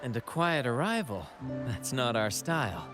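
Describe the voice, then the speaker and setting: An actor playing a flamboyant, cheerful ship's host welcoming travelers. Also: male voice, medium pitch, very animated, moderate pace, over music, close to an everyday voice.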